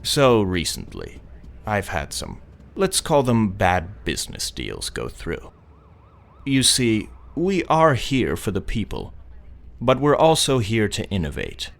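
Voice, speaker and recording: A man playing an arrogant, defensive wealthy landlord in an audio drama, quick to take offence. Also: on the low side, wildly swooping pitch, creaky, heavily acted. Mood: self-justifying. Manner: haughty, defensive, self-justifying. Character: arrogant, indignant male wealthy businessman, slippery landlord.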